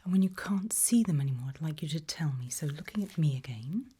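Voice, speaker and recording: A woman softly whispering a medical exam roleplay in ASMR style, giving gentle, deliberate instructions with pauses. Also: low voice, expressive. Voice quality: soft breathy whisper. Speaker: ASMR performer playing an examiner.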